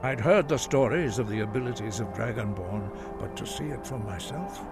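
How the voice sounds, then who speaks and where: An actor playing a wise, patient old monk master in a fantasy video game, speaking in a measured, formal way. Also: male voice, fairly low pitch, lively intonation. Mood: reverent, grave. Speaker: mentor. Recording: loud music behind the voice. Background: game music.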